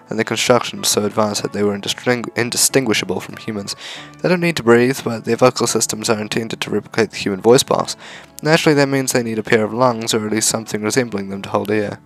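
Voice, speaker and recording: A man's voice: on the low side, quick pace, gravelly, hardly any pauses, soft background music. A man reading a visual novel aloud for a let's play, with casual asides.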